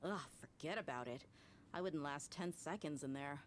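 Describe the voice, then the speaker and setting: Woman playing a confident, low-voiced young female mercenary. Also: normal speed, slight background noise, close to an everyday voice. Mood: casual, proud. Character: confident tough young female desert mercenary, easygoing and proud. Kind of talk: video game voice acting.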